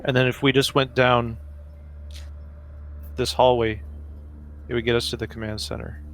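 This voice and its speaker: A man's voice: mid-range voice, level tone, moderate pace, fairly bright tone. A man talking casually as a player in a tabletop roleplaying game, thinking through the next move. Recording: faint background noise.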